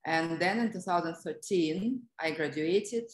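A woman with a Russian accent and a low-pitched voice talks conversationally as an interview guest. She is on a video call, and the room echoes around her voice. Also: a little slow. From Russia (Siberia). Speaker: interview guest, business development professional. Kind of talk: conversational interview, telling career story.

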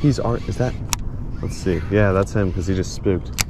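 A young man with a fairly low voice talks excitedly while he fishes from a kayak, laughing and throwing in a lot of "dude." Outdoor noise from the water and the boat is clearly heard under his voice, as picked up by a small camera on the kayak. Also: normal speed. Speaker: fishing vlogger. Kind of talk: casual running commentary while fishing.

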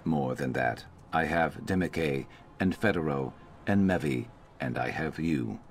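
A man playing a wry, gruff reptilian adventurer companion in a video game, with a gravelly, dry, deadpan grumble. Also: a bass voice, measured pace, a little hiss or hum. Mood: reflective, loyal.

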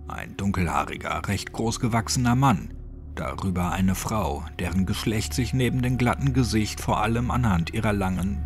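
A man reading a scripted horror story as an audiobook narrator. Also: lowish voice.

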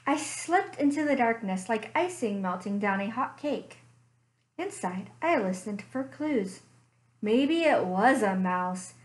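Slow, expressive woman reading storytime. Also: fairly high pitch. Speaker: children's librarian. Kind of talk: storytime read-aloud. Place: small home room indoors.

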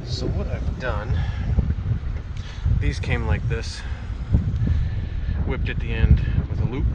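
A man's voice: fairly low pitch, lo-fi sound. A man, around his forties, chatting casually and thinking aloud as he vlogs on a handheld camera. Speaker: sailing vlogger. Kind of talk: casual vlog narration while working. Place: aboard a boat and outdoors at a marina. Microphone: handheld camera at arm's length. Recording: noisy handheld action-camera audio with ambient hum.

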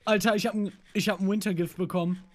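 A man's voice: high voice, steady tone, fast talker, no room sound. A man playing along as a guest in casual gaming banter, goofy and teasing. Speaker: guest co-player in a gaming video.

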